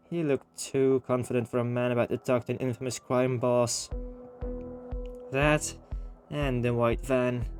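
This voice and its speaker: A man's voice: mid-range voice, darkish tone. A man reading a game story aloud and commenting on it casually, with informal slang. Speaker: gaming host reading a visual novel aloud. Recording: music behind the voice. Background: game music with guitar.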